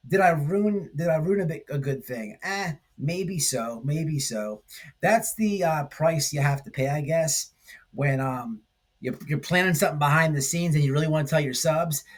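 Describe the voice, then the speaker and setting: A man hosting a commentary stream, talking casually and haltingly, repeating phrases for emphasis. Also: a little high. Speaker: host of a livestream commentary channel. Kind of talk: casual rambling drama commentary.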